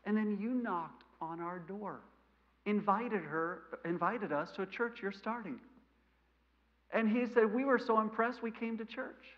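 A man in his thirties with a mild stutter, preaching a sermon in a church sanctuary, animated and gesturing, swinging between loud emphasis and quiet asides. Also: high voice, a little slow. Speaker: missionary preacher. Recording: lo-fi church recording.

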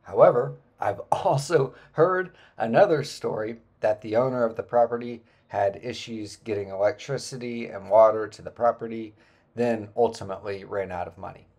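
A man in his forties narrating a travel vlog about a hike, relaxed and explanatory, with frequent filler words. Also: on the low side. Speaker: travel vlogger. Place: indoor room, then voice-over on hike footage.

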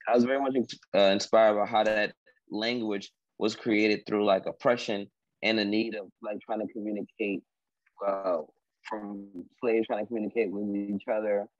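A young man with a lowish voice, first language Haitian Creole, talks slowly through his art with plenty of fillers. He is heard over a dry, dull video call line from a room at home. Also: fairly even intonation, no echo. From Washington, D.C. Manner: halting, many fillers. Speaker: artist. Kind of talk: video call artist talk. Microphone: laptop video call.

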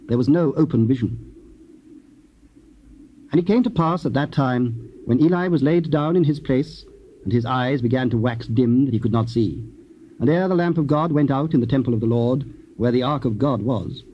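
A man narrating scripture from a script in a formal, measured manner. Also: medium pitch, animated. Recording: dull, hissy old cassette recording.

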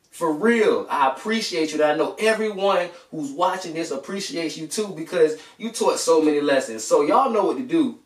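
A young American man hosting a children's bedtime read-aloud in an informal, slangy way. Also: high voice. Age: young adult. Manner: informal, slangy, addressing listeners familiarly. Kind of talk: casual chat to children and storybook reading. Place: small room at home, indoors. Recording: echoey room, distant camera microphone.

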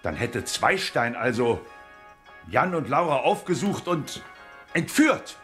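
An actor playing an impatient, grumbling general in a children's sci-fi radio drama, with an emphatic, commanding delivery. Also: male voice, medium pitch, sing-song, slow pace, a bit bright, faint music behind. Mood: urgent. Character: impatient, blustery male general, bold and grumbling.

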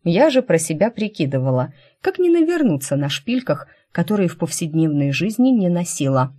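Woman, low voice, lively melodic delivery, expressive first-person novel narration. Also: moderate pace. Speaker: audiobook narrator.

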